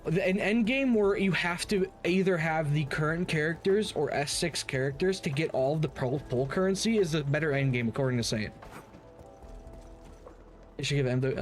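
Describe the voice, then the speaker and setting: A man doing casual live game stream commentary in a blunt, opinionated manner. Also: on the high side. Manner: blunt, opinionated, heavy swearing.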